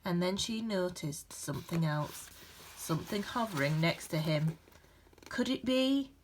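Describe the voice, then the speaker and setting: A woman reading a children's picture book aloud with gentle, expressive storytelling emphasis. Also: fairly low pitch, measured pace, a little hiss or hum, rough recording. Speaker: storybook reader.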